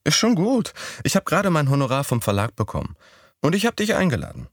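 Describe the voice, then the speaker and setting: A man voicing a bold, whimsical young woman in an audiobook, with a raised, lively, teasing lilt. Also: fairly high pitch, fast talker, no echo, studio quality, voice pushed up, full character voice. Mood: breezy. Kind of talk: audiobook character voice.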